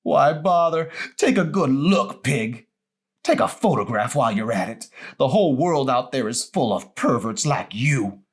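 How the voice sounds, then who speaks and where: A man voicing a deranged, terrified and hostile old grandmother in an audiobook, crying out accusations in a shrill, drawn-out wail. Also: expressive, close to an everyday voice. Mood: bitter, shrieking. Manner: shrill, wailing, dragged-out cries and accusations.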